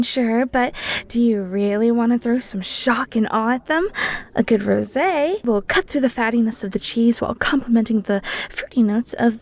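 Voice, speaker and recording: A woman's voice: a little high, gravelly, darkish tone, heavily acted. A woman playing an enthusiastic, flirty cheesemonger in a roleplay audio, chatty and theatrical, talking straight to the listener. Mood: flirty, warm. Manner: playful, theatrical, chatty, with flustered stammers. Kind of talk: roleplay audio drama, one-sided conversation with the listener.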